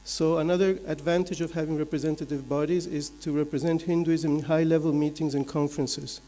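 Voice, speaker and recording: Mellow man in an echoey hall. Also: fairly high pitch, level tone, fairly quick. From Belgium. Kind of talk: slide presentation to an audience. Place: large conference hall. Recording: echoey hall with long reverb.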